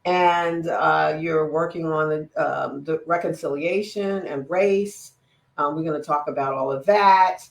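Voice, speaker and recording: A woman's voice: deep voice, unhurried, gravelly, echoey room. A woman hosting an online conversation, asking questions with many fillers and stumbling over words, on a video call. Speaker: interviewer, climate equity director.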